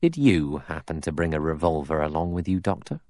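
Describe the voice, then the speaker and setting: A man's voice: a bass voice, big pitch swings, brisk, dark tone, no room sound, studio quality. A man voicing a blunt, suspicious male ex-police inspector in an audiobook, with a grunting, doubtful manner.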